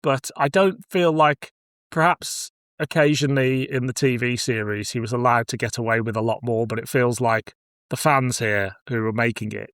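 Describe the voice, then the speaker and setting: A man's voice: medium pitch, normal speed, a bit bright, clear, resonant tone, no echo. A man with a mid-range voice hosts a casual discussion. He is blunt and happy to swear, often slipping into little quoted thoughts to make his point. The sound is dry, with little room around it. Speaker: podcast host. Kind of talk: casual podcast chat about cult sci-fi.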